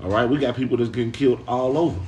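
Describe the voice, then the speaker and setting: A man's voice: mid-range voice, racing, a little mellow, recorded from a distance. American man preaching informally, faint noise. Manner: colloquial, punctuates with "man", "hey" and "all right". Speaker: speaker leading a religious open forum.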